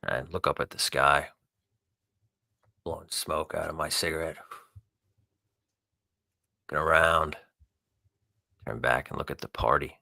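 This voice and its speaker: A man with an extremely deep, gravelly voice and a very sharp, forceful edge. He narrates a roleplay scene slowly, in moody fragments. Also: fairly even intonation, no room sound, studio quality. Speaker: roleplay player. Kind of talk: moody, unscripted first-person narration of a tabletop roleplay.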